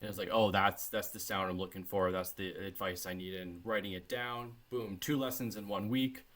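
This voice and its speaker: A Canadian man, a bassist, chatting as a podcast guest in a relaxed, rambling way. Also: normal speed. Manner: relaxed, rambling, with many fillers and restarts. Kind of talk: casual interview chat.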